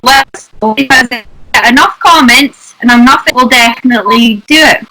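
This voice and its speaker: A woman's voice: fairly high pitch, normal speed, bright, forceful tone, rough recording. A woman co-hosting a casual chat, upbeat, speaking straight to viewers and asking questions. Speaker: co-host.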